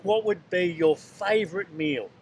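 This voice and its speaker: A man's voice: high voice, unhurried. A man doing casual street interviews outdoors at a beach, chatting and joking with the people he questions. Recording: noisy outdoor ambience.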